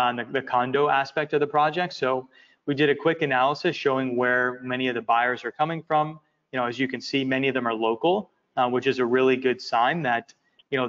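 A man in a middle register presents an investment project over slides. He talks in a halting, filler-heavy way and often repeats words. The audio is slightly muffled and lo-fi. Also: mid-range voice, a bit bright. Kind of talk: walking through presentation slides.

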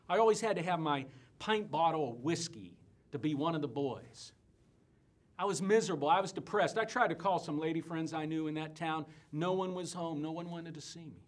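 A man's voice: on the high side, fairly bright tone. An actor playing a fiery conman revivalist preacher in a theatrical stage monologue, bombastic and folksy, moving between confiding and exhorting. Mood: confiding, rueful. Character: fiery conman revivalist preacher crusading against booze and sin.

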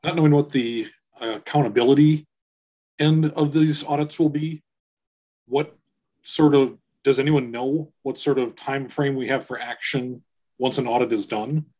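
A man's voice: mid-range voice, fairly even intonation, normal speed, warm tone. A man on a school board, asking questions in a meeting with hesitant restarts, heard over a video call. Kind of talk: meeting discussion.

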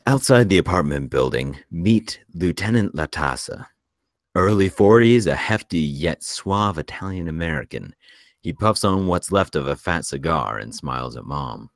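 Narrator, male: extremely low voice, dry recording, reading screenplay directions. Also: animated, moderate pace, no echo. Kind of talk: scripted narration.

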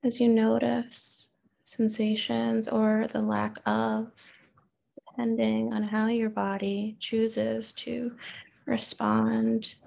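A woman therapist leading a guided meditation in a gentle, unhurried manner, with pauses and open questions. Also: fairly high pitch, flat delivery, vocal fry.

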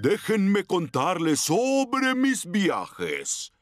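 A man's voice: sits high, wildly swooping pitch, very slow, no echo, soft background music, full character voice. An actor with a Latin American Spanish accent playing a jovial dog sea captain and doting father in a children's cartoon, with hearty, theatrical exclamations. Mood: proud, cheerful. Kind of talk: dubbed children's cartoon acting.

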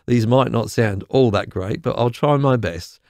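A man with a slightly croaky voice explains music software in a relaxed, chatty way, often checking in with "okay?". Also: medium pitch, measured pace, no echo, studio quality. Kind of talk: screen-recorded software tutorial.

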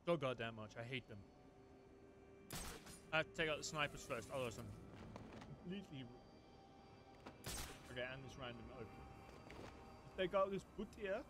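A man's voice: medium pitch, moderate pace, pauses often. A young man giving casual, profane commentary while he plays a game. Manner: profane, excitable, joking. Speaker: streamer. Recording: loud game music behind.

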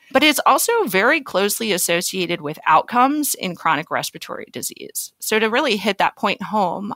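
A woman's voice: lowish voice, a bit bright, no echo. A woman speaking as a physician guest on a podcast, explaining things in an articulate way.

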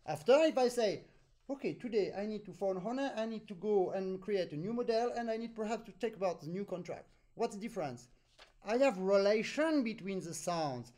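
A man with a French accent, a native French speaker, teaching an accordion master class over a video call, animated and pausing deliberately between phrases. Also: sits high. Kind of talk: online master class instruction.

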